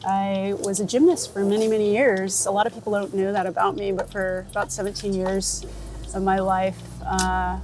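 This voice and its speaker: A woman from Florida with an American accent, chatting casually as an interviewed photographer, talkative and quick to laugh. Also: medium pitch, little pitch movement, darkish tone. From United States, Florida. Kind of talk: casual chat while out and about. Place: on location in a city, rooftop, car and street. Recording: outdoor city and vehicle noise.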